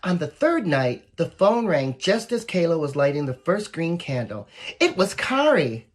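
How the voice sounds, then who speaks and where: Lady reading a kids picture book out loud, kinda low n husky, super expressive, chats to the kids. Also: a little slow. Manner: expressive, encouraging, talks to young listeners. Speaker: volunteer story reader. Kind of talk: read-aloud picture book for children, with asides.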